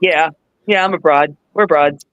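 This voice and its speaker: A woman's voice: sits low, unhurried, fairly bright tone, highs cut off. A woman in her forties chatting casually as a podcast guest, blunt and foul-mouthed. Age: 40s. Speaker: podcast guest, wrestler and trainer. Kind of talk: casual chat.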